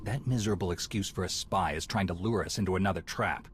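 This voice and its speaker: A grim male warrior voiced by an actor speaks low and with contempt. The delivery is dramatic video game cutscene acting, with faint noise in the background. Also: quick pace, natural voice. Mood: grim, scornful.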